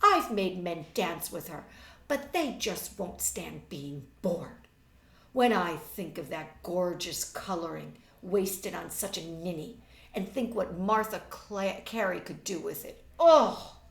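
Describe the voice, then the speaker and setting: A woman's voice: lowish voice, lively intonation, slow pace, gravelly, fairly bright tone, heavily acted. A woman voicing an exasperated, catty eighteen-year-old society girl in a storybook reading, emphatic and scornful. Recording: roomy, distant webcam microphone.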